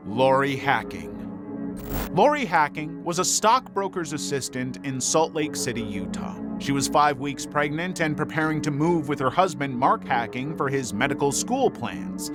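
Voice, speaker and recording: A man reading scripted true crime documentary narration in a measured, serious storytelling manner. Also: a little high. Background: music behind the voice.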